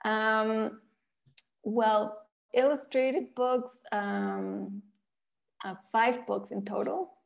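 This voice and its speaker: A woman's voice: fairly high pitch, steady tone, drawn-out words, gravelly. A woman from Mexico with a Mexican accent and Spanish as her first language, answering interview questions conversationally as an author and illustrator.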